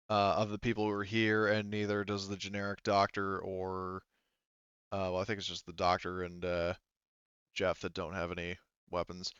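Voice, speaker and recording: A man's voice: fairly low pitch, fairly bright tone, clear, resonant tone, no room sound, highs cut off. A man casually game mastering a tabletop RPG in a hesitant, conversational, wordy way.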